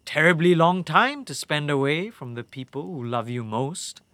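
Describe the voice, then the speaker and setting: A man's voice: a little high, animated, normal speed, a bit bright, dry recording. A man playing a captured enemy warlord in an audio drama, flippant and cocky, with a theatrical, taunting, wry delivery. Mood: grandiose, self-assured.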